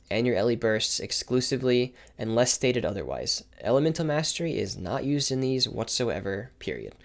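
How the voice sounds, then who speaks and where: A man with a slightly hoarse voice, giving a quick, informal explanation of game mechanics with asides and self-corrections. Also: mid-range voice. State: slightly hoarse from repeated takes. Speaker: game guide creator. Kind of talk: explaining game mechanics over gameplay footage.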